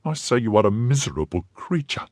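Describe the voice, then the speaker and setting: A man's voice: fairly low pitch, sing-song, a little fast, a little mellow, no room sound, clean studio sound, voice pushed up. A man doing an indignant young wife. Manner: scornful, pleading and scolding by turns. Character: young woman, indignant, fiercely loyal wife.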